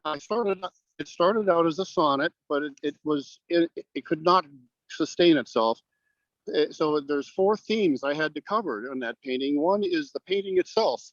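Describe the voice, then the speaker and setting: An older man, a guest poet explaining in a halting, deliberate way over a remote video call from outdoors. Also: fairly high pitch, expressive, fairly bright tone, dry recording. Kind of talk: explanatory interview talk. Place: outdoors on grass in a parking lot. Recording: dull, lo-fi call audio.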